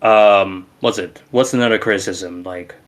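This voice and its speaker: Romanian-accented male musician guest, low-pitched, emphatic and meandering in casual chat. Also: normal speed. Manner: rambling, emphatic, many restarts. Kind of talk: casual podcast interview.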